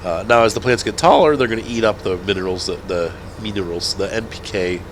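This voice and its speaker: A man's voice: fairly low pitch, fairly quick, very noisy. A man with a head cold and a stuffed-up nose, casually chatting and commentating over a farming game, rambling and correcting himself as he goes. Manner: rambling, self-correcting, chuckling. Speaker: gaming channel host. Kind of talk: casual let's-play commentary.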